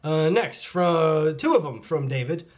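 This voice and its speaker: A man's voice: on the high side, animated, measured pace. A middle-aged man at home, casually reading and answering fan comments, laughing often and dropping into muttered asides. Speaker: channel host answering fan questions. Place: at home with his cat.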